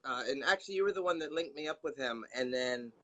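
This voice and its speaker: A man from Florida, a martial arts instructor, talking easily as a guest in a casual conversation, often pausing with fillers. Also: medium pitch, animated, pushed and bright, a little hiss or hum. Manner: easygoing, hesitant, many fillers.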